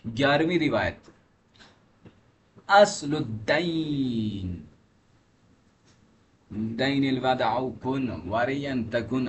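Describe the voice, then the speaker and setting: A man giving a religious lesson, reading aloud and explaining in an emphatic, didactic manner as a teacher. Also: mid-range voice. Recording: clearly noisy recording with background ambience.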